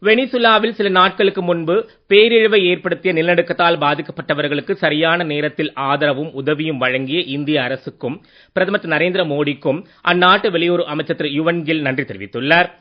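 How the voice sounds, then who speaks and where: A man reads a formal scripted radio news bulletin in a measured manner. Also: on the high side. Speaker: news reader. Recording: dull lo-fi radio sound.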